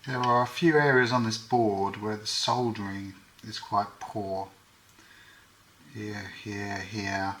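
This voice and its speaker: A man's voice: fairly low pitch, steady tone, slow, fairly bright tone, a little hiss or hum. A man giving hands-on repair commentary as host, thinking aloud in short, matter-of-fact phrases.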